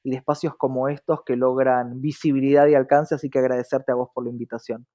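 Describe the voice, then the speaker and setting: A man's voice: mid-range voice, level tone, fast talker, warm tone, lo-fi sound. An Argentine man speaking earnestly and reflectively as an interview guest, often checking in with short tag questions. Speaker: interview guest, diversity activist.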